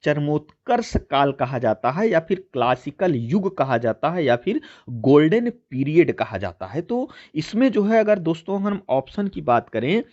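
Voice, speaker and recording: A man's voice: a little high, big pitch swings, measured pace, hardly any pauses, a little mellow, muffled recording. A man teaching an exam-prep lesson, addressing listeners as friends and repeating key points as he explains. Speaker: teacher hosting a history quiz channel.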